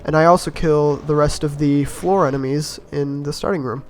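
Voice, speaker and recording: A man with a slightly raspy voice from being a bit sick, giving easygoing, rambling voice-over commentary on his own game run and laughing at his own mistakes. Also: fairly high pitch, fairly even intonation, normal speed, darkish tone, soft background music. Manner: easygoing, rambling, self-mocking with chuckles. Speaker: gameplay commentator.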